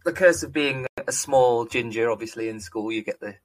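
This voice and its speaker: A man's voice: mid-range voice, moderate pace. A young man from Manchester, a GP guest on a casual podcast, sarcastic and self-mocking. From England (Manchester). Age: young adult.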